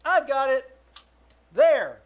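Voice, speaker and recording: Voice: high-pitched, very animated, slow, exaggerated voice. A performer playing a cheeky, wisecracking young boy, a nephew in a live 1940s-style radio comedy. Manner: cheeky, plain-spoken, exclaiming. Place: live stage performance in a theater.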